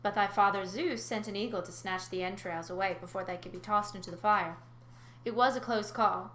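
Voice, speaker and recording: A woman's voice: mid-range voice, a little fast, a bit bright, lightly acted. A woman voicing a solemn, ancient huntress from a storybook, with archaic wording. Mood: solemn, ominous. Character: grave ancient young huntress telling old lore. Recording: roomy echo, faint background noise.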